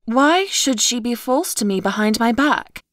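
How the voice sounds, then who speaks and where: High-voiced, sweet, trusting girl. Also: animated, a little slow, a bit bright, no echo, studio quality. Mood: earnest. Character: Christine, sweet, trusting, devoted young woman. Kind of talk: audio stage play acting.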